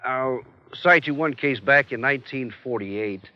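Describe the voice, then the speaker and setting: A man's voice: medium pitch, unhurried. An American man from rural Colorado, a farmer, recalling events in plain speech. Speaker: farmer interviewee. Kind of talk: plain-spoken recollection. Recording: dull 1970s film soundtrack.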